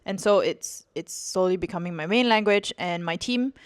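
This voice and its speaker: A woman's voice: on the low side, normal speed, clear, resonant tone. A woman in her thirties with a Malaysian accent and Mandarin as her first language, co-hosting a casual chat podcast on a handheld mic in a living room. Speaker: podcast co-host.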